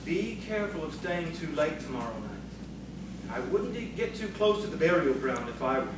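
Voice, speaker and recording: Guy acting a calm scholarly storyteller man, bit high pitched, knowing and measured, noisy radio play recording. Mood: friendly, cautioning. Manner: measured, knowing, gravely cautioning. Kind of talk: audio theatre acting. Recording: very echoey, distant, noisy room.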